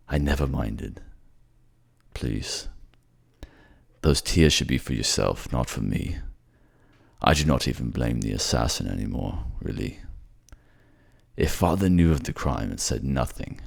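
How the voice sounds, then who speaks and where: A very deep, gravelly male voice , put on by a male voice actor, for a weary man under a curse in a fantasy drama. He is tender and protective, heavy with guilt, and speaks in soft, halting pleas. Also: animated, full character voice. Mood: soothing, remorseful. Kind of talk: fantasy audio drama roleplay.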